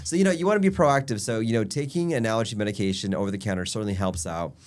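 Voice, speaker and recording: Nasal, stuffed-up man with a low voice. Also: very fast, a little mellow, faint music behind. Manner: upbeat, explanatory, lists points. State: stuffy nose from allergies. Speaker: physician guest. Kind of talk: casual health chat.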